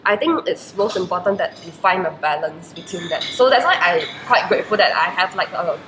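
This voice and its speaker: A woman's voice: fairly low pitch, expressive, fairly quick. Reflective young Malaysian woman, roomy echo. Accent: Malaysian English. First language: Chinese. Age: 20s. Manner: reflective, many "like" fillers. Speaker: university student guest. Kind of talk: casual interview answers. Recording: roomy echo with faint noise.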